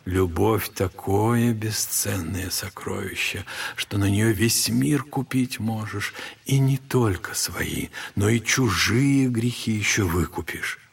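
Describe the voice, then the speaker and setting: Saintly old monk, very husky, slow, compassionate, audio drama. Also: male voice, lowish voice, full character voice. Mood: tender, consoling. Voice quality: hoarse, gravelly. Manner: slow, tender, consoling. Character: saintly old monastery elder, gentle and compassionate.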